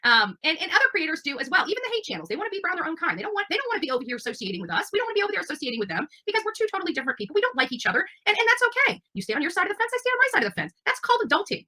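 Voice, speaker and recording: A woman's voice: extremely high pitch, sing-song, very fast, hardly any pauses, pushed and bright. A woman hosting a channel, talking casually to her audience in a rambling, emphatic, defensive way. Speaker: livestream channel host. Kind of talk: casual livestream monologue to audience.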